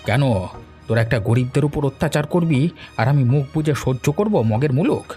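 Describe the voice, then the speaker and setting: A man's voice: on the high side, lively intonation, a little fast, warm tone, faint music behind, lightly acted. A man voicing a righteous, hot-headed older village man who scolds indignantly in a cartoon. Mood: angry. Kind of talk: cartoon dialogue acting.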